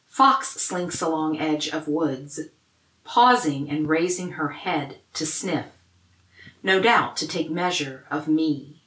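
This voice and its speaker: A woman who is a poet, reading her own prepared words in a measured way. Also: low-pitched, fairly bright tone, reverberant, low-quality recording. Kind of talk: measured scripted poetry reading.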